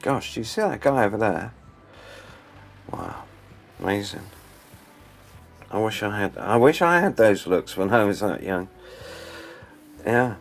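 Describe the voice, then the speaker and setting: A man plays a talkative, curious pub companion in a radio play, prodding with eager questions and open admiration. His pitch swings widely while his pace stays slow, over faint music. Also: wildly swooping pitch, gravelly, heavily acted. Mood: admiring. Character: curious, chatty man making conversation in a pub.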